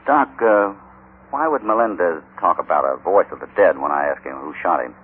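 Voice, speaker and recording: Cowboy hero, American, low firm voice, shrewd and in command, tinny vintage radio. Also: male voice, lively intonation, brisk, breathy edge. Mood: calm, probing. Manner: firm, decisive. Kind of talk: 1950s radio Western acting. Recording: tinny, noisy old radio recording.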